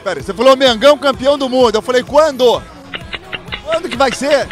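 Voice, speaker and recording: A Brazilian man with a high voice does a casual fan interview. He is loud and teasing, with music and crowd clearly audible behind him. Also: fairly quick. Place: busy indoor sports arena.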